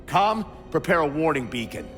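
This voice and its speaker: An actor playing a stern, stubborn military captain in a video game, giving orders in a clipped, formal, commanding way. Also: male voice, a little high, sing-song, normal speed, a bit bright. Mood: cold command. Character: stern, stubborn male military ship captain, irate at defiance. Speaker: ship captain. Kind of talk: cinematic video game acting. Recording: long reverb under music.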